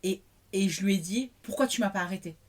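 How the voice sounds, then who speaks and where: A woman hosting a podcast, talking and asking questions in an expressive way. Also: medium pitch, quick pace. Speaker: podcast host. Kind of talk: interview conversation.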